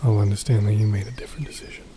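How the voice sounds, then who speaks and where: A man playing a gentle, reassuring captor in a soft, intimate ASMR roleplay, pausing often as he speaks. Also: on the low side, fairly even intonation, background noise, close to an everyday voice. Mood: calm, reassuring. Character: gentle male captor soothing a kidnapped elf.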